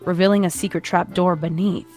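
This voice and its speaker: Lowish woman narrating a story over music. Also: steady tone, fairly quick, darkish tone. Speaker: narrator of a fan fiction reading.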